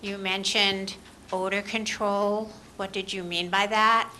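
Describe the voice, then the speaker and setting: A woman's voice: mid-range voice, little pitch movement, slow pace, sharp tone, a little hiss or hum. A woman resident asking direct questions during public comment at a town meeting. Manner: inquisitive, direct.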